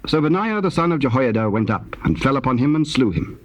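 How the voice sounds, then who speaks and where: A man's voice: medium pitch, very animated, brisk, a little hiss or hum. A man narrating scripture as a formal storyteller, in a solemn scripted reading.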